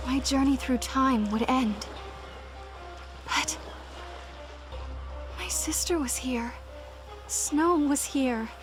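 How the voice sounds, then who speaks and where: Video game heroine: gentle, anxious young woman, high register, unhurried earnest delivery. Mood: wistful. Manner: earnest, hesitant, questioning. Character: gentle young woman, anxious, bewildered time traveler with resolve. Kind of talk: dramatic video game voice acting. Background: music.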